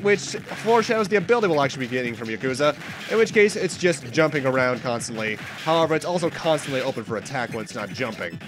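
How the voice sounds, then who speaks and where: A man's voice: on the high side, low-quality recording. A young man with a stuffy nose giving chatty, casual commentary on a game as he plays, now and then correcting himself. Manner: chatty, self-correcting, occasional laughs. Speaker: let's play host. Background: game music.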